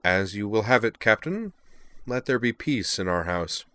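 A man's voice: lowish voice, animated, sharp tone, pitched above the performer's own voice. Man voicing a courteous, proud lizard swordsman. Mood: resigned. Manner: formal, flowery, measured courtesy. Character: courteous, proud lizard-like alien swordsman, calm, cheerfully cutting. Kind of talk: acted dialogue in a narrated story.

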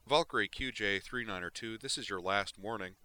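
A man's voice: lowish voice, normal speed, bright, forceful tone, pitched above the performer's own voice. A man voicing a stern male patrol commander giving orders over a ship's comm.

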